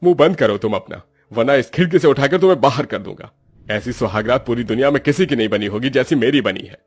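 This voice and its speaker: A man voicing an indignant, emphatic young husband with a husky voice in a moral story. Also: mid-range voice, expressive, fast talker. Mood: exasperated. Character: exasperated young husband, scolding yet protective. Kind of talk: dramatized Hindi moral story dialogue.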